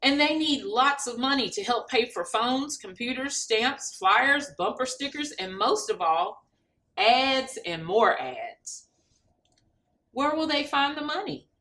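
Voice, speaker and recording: A woman reads a storybook to children in a bright, fairly high voice. Her intonation swings widely and she takes her time, delivering each line expressively and deliberately. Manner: expressive, deliberate, addressing young listeners with questions. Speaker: storybook reader. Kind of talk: animated children's read-aloud.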